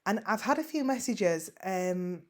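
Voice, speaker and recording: A woman's voice: medium pitch, lively intonation, measured pace, a little mellow. A middle-aged woman from West Yorkshire with a West Yorkshire accent, chatting casually in a vlog, self-deprecating and full of ums and repeated words. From West Yorkshire, England. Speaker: online seller vlogger. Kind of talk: casual screen-share vlog.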